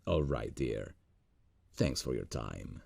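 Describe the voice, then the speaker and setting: A man playing a gravelly lovesick boss. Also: animated, no room sound, exaggerated voice. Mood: tender. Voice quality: deep gravelly voice. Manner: soft, intimate, slow and earnest. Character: lovesick male boss, gentle and earnest. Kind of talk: ASMR roleplay audio.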